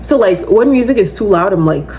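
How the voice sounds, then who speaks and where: A young woman in her twenties with a naturally loud, mid-pitched voice, talking straight to her listeners as a podcast host. She rambles casually, fills her speech with "like", and keeps breaking into lively asides. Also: brisk. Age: 20s. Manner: chatty, rambling, heavy use of "like"; animated, slips into quoting others. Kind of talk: casual solo chat to camera.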